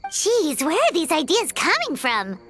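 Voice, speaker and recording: A woman voicing a small, puzzled, childlike companion creature in an animated game, exclamatory and questioning. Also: very high-pitched, measured pace, soft background music, heavily acted. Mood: baffled. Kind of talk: animated game cutscene acting.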